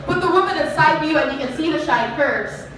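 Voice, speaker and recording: Young filipino woman doing spoken word, high voice, super echoey noisy venue. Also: a little fast, fairly bright tone, recorded from a distance, faint music behind. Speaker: spoken word poet performing on stage. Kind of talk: live spoken word poetry recital. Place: live performance venue, heard from a distance.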